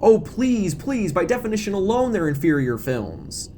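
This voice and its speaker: A man voicing an excitable, sarcastic young horror-film geek in an audio drama, argumentative and wisecracking, with music behind him. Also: high-pitched, normal speed, voice pushed up. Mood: loud, scornful.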